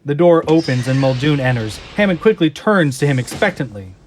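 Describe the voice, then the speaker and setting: A man narrating a scripted audio drama in a measured, descriptive manner. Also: a little high, expressive, a little hiss or hum.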